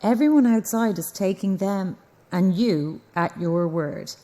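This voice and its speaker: A woman's voice: medium pitch, a little slow, dark tone, close to an everyday voice. An actress playing a sceptical, stubborn female news reporter in an audio drama, with an Irish accent and a blunt, probing manner. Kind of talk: naturalistic audio drama acting.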